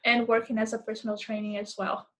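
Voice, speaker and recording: A woman's voice: on the high side, little pitch movement, fast talker. A woman in her thirties from Brazil with a Brazilian accent, a fitness instructor talking as a guest over a call, often hesitant and repeating words as she searches for English. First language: Portuguese. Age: 30s. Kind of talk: casual interview chat.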